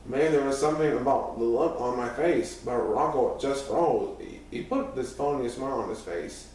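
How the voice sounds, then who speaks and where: A man's voice: mid-range voice, normal speed, a little mellow. A man reading a first-person youth story aloud as scripted narration, now and then stumbling over a word. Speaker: audiobook reader. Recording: very echoey room, distant mic, faint noise.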